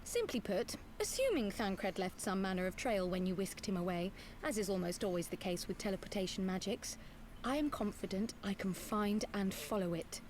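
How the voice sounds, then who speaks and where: A woman's voice: medium pitch, lively intonation, moderate pace, darkish tone, slight background noise, lightly acted. A woman playing a small, teasing, self-assured fantasy scholar in a video game, with wry, precise and formal phrasing. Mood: businesslike.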